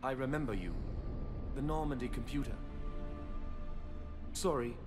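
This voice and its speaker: Man playing a halting, literal young savant, music behind. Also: mid-range voice, lightly acted. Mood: apologetic. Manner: halting, clipped short phrases, flat and literal. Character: gentle, literal-minded young male savant student. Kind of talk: video game voice acting.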